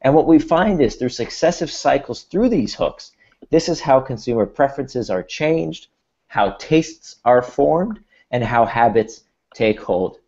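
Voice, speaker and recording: A man's voice: medium pitch, animated, a little slow, a little mellow. An Israeli-born man with an American accent, presenting a workshop over a video call, explaining steadily and restarting with repeated words. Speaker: workshop speaker. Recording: dull lo-fi video call audio.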